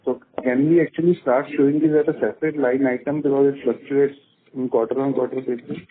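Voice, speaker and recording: A man's voice: mid-range voice, fairly even intonation, fairly quick. A man calling in as an analyst to ask questions on an earnings call. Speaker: analyst caller. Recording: narrow tinny phone line.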